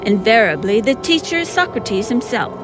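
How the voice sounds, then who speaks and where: A woman's voice: a little high, sing-song, normal speed. A woman reading scripted comic narration with theatrical, wry emphasis. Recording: music behind the voice.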